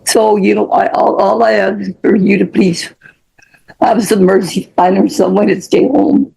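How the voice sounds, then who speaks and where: A woman's voice: medium pitch, steady tone, normal speed, creaky, mellow, slightly rough, poor audio. An older woman giving an emotional, rambling plea as a witness in a courtroom. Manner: rambling, pleading. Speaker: witness, defendant's mother.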